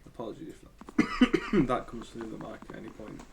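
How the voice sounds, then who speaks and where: A man's voice: fairly low pitch, moderate pace, creaky, background noise. A man losing his voice and coughing, giving casual, rambling gameplay commentary as a gaming channel host. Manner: rambling, hesitant, thinks aloud.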